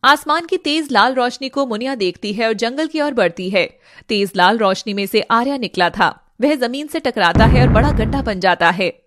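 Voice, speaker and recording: A woman's voice: a little high, animated, normal speed, fairly bright tone, dry recording. A woman narrator reading a scripted story in a dramatic storytelling style.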